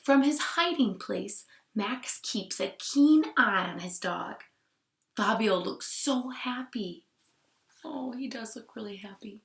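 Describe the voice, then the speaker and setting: A woman teacher reading a picture book aloud to children, expressively, with hushed asides. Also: a little high, slow pace, creaky. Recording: roomy, echoing sound.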